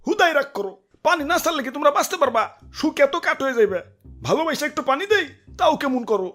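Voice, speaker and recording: Cartoon villain: boastful, selfish dam keeper, mock-generous, high quick voice. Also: male voice, animated, a bit bright. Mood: placating. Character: gloating, selfish male dam keeper hoarding river water. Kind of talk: comic cartoon acting.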